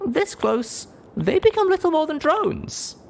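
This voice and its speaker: Man putting on a refined, high-voiced lady pony, hushed. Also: sing-song, measured pace, gravelly, slight background noise, full character voice. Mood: hushed, thoughtful. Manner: hushed, careful speech. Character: Velvet Remedy, refined female pony, soft-spoken and thoughtful.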